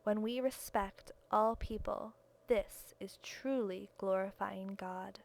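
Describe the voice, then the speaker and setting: A woman's voice: mid-range voice, steady tone, takes it slowly, creaky. A woman reading a written religious reflection aloud. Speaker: reader of testimony.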